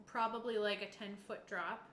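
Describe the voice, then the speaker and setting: Bright-voiced woman running a tabletop game. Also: mid-range voice, fairly even intonation, moderate pace. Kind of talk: improvised tabletop game narration and chat. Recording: echoey room with background noise.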